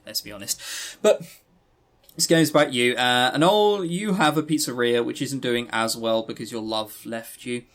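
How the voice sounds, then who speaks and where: A man's voice: medium pitch, a bit bright. A man co-hosting a podcast, chatting and reviewing games in a rambling, opinionated way with casual swearing. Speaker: podcast co-host. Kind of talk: casual chat, game review.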